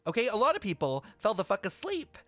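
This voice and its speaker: A brash, sweary livestreamer chatting casually and rambling through an explanation, playfully scolding viewers with theatrical emphasis. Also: medium pitch. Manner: animated, theatrical emphasis with drawn-out words. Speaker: streamer reacting to a video game story. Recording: roomy echo, faint music behind.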